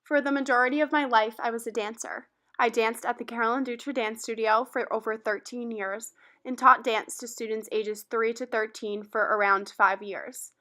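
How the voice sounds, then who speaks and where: A young woman from Rhode Island, a university student, reading a prepared school presentation aloud in a steady, careful way. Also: on the high side, moderate pace, hardly any pauses. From Rhode Island, USA. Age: young adult.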